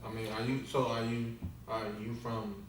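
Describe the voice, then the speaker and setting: A man's voice: medium pitch, little pitch movement, normal speed, echoey room, background noise. A man hosting a podcast, asking questions in a loose, slangy way and laughing easily. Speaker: podcast host. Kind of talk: casual interview.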